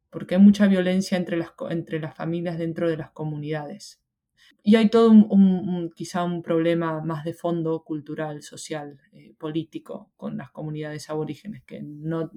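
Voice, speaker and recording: A woman's voice: low voice, mellow. A young woman from Argentina with an Argentine Spanish accent, chatting reflectively as an interview guest, with many fillers and restarts. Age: young adult. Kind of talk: casual interview chat.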